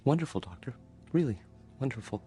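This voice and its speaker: An actor playing an earnest, vulnerable psychiatric patient in a radio drama, with a mild, hesitant manner. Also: male voice, mid-range voice, expressive, a little fast, mellow, faint music behind, lightly acted. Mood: calm, reassured.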